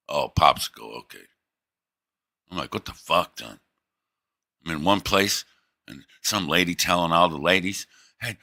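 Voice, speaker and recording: A brash man with a drawl hosts a crude, rowdy livestream chat. His low voice has a hard, piercing brightness, and he ribs his co-host with profane jokes and frequent laughter. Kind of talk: crude casual banter.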